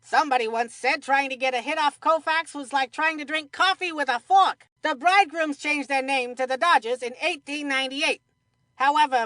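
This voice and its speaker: A woman's voice: high-pitched, bright, forceful tone, no echo, clean studio sound. A woman voicing a cheerful, chatty kid baseball commentator in a video game, with folksy, scripted delivery. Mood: cheerful, informative. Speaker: sports commentator.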